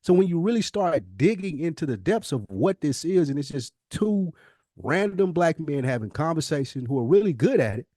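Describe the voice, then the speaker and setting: A man co-hosting a podcast, making a casual, conversational pitch in an emphatic, insistent way, often stacking repeated phrases. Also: big pitch swings, moderate pace, mellow, dry recording, studio quality. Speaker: podcast co-host.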